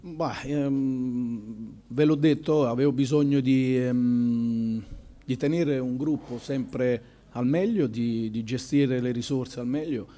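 A man in his forties, a football coach, answering questions at a post-match press conference in a measured, thoughtful way, with many pauses. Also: mid-range voice, dark tone. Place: press room in front of a sponsor backdrop. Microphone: table microphone.

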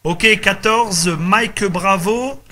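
A man's voice: high-pitched, extremely slow, background noise. A man chatting casually as a radio operator, often repeating himself. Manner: repeats himself, tag words. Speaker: amateur radio operator running a group contact.

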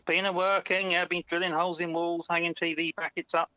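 Bright man on a lo-fi call. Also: sits high, flat delivery, no room sound. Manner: easygoing, anecdotal, slow fillers. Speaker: caller. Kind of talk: casual chat. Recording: dull lo-fi call line.

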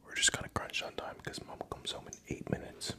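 A young adult whispering crude, rambling chat close to a studio microphone at home, a little tipsy. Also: brisk. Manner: profane, rambling, joking. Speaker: ASMR creator. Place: home room. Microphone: studio USB microphone.

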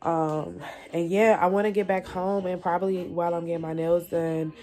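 A young woman with a Southern American accent, a mom chatting casually into a phone held at arm's length, in a relaxed, slangy way. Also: fairly low pitch, normal speed, soft background music. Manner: chatty, laid-back, slangy. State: stuffy nose. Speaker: vlogger, mom. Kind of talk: casual selfie vlog chat. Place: car at a gas station, then a home kitchen.

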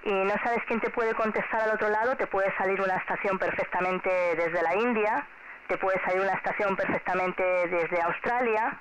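A woman's voice: medium pitch, moderate pace. A middle-aged woman with a Spanish accent from Spain, chatting over amateur radio in a friendly, encouraging way and often repeating herself. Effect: two-way shortwave radio transmission. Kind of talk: casual radio contact chat addressed to a child. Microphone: heard through a radio transceiver speaker. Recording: noisy, muffled amateur radio signal.

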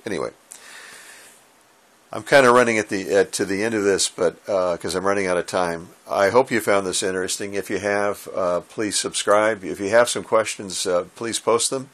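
An American man in his sixties with a gravelly voice, giving informal hobby advice to camera in a halting, reflective, conversational way. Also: on the low side, fairly bright tone. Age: 60s. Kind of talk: informal instructional talk to camera. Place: small indoor home radio room.

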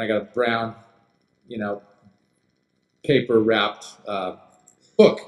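A middle-aged man giving a conference keynote from a podium microphone on a dark stage, talking casually with many fillers. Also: mid-range voice. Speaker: keynote speaker. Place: dark stage in a large hall.

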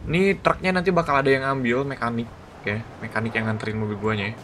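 A man giving excited, slangy gameplay commentary as a gaming YouTuber. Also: mid-range voice, quick pace, slight background noise. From Indonesia. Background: background music.

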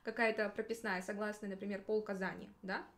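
A young woman speaks very quickly in a studio. She explains rules like a friendly tutor, chatty and encouraging, in a casual conversational style. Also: medium pitch, level tone, a bit bright. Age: 20s. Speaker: Russian language teacher. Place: small indoor studio.

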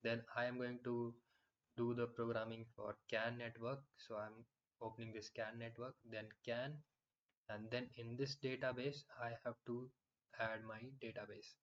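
A man explaining software in a screen-recorded tutorial, with frequent fillers and restarts. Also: mid-range voice, flat delivery, moderate pace, highs cut off.

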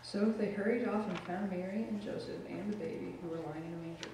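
Male lay reader, steady measured delivery, distant church room sound. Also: high-pitched, noisy background. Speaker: scripture reader. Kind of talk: Bible reading in church service. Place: church sanctuary. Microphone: lectern microphone, far camera. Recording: echoey church from far off.

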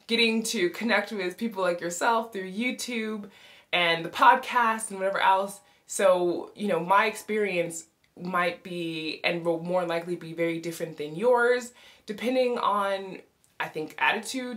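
A woman's voice: lowish voice, a bit bright. An American woman in her thirties talking to camera from a small bedroom, explaining things in an upbeat, chatty way. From United States. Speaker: vlogger and podcast host. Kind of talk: informative casual talk to camera. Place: small bedroom with sloped ceiling.

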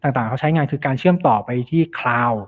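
A man's voice: mid-range voice, brisk. A man giving an informal technical presentation as a cybersecurity specialist, explaining things and mixing in English technical terms. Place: online meetup over slides. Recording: thin phone-like online meeting audio.

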